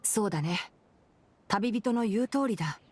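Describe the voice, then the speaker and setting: A woman voicing a calm, helpful young forest ranger in an anime game, reasoning in a plain, considered way. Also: medium pitch, moderate pace, breathy edge. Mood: calm, thoughtful. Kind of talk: Japanese anime game voice acting.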